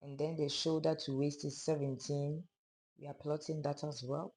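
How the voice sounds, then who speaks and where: A sewing instructor with a hoarse, fading voice, calmly narrating a pattern-drafting tutorial step by step. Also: mid-range voice, normal speed. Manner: calm, step-by-step explaining of measurements. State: hoarse, losing voice.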